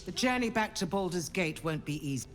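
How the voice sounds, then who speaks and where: A man's voice: sits high, lively intonation, a little fast, long reverb tail, soft background music. A man voicing an earnest military counsellor in a fantasy video game, formal and resolute. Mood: grave, resolute. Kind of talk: dramatic video game acting.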